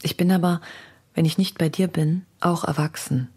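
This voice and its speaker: Breathy, low-voiced woman poet. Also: fairly even intonation, normal speed, dark tone. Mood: intimate, reflective. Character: woman poet confiding in intimate letters. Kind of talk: literary letter reading.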